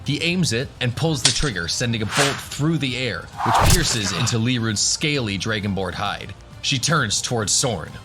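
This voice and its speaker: A man's voice: medium pitch, animated, moderate pace, few pauses, over loud music. A man narrating a scripted fantasy adventure as the storyteller of an audio drama. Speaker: narrator, game master.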